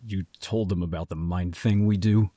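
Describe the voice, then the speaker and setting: A man playing a protective werewolf brother in an audio roleplay, gruff, blunt and exasperated but caring. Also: low-pitched, fairly even intonation, normal speed, mellow, no room sound.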